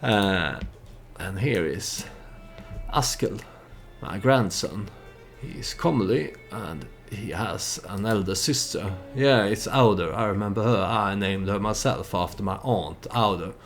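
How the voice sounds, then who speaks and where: A man giving casual storytelling commentary over a strategy game, hesitant and halting as he thinks aloud. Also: on the low side, soft background music. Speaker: let's play YouTuber narrating his strategy game.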